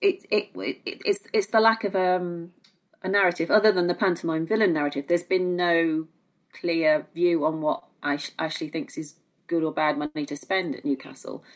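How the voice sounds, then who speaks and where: Low-pitched British woman discussing football. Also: fairly even intonation, moderate pace. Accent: British English. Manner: hesitant phrasing, repeats words for emphasis. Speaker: guest football pundit. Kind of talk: conversational opinion, podcast discussion.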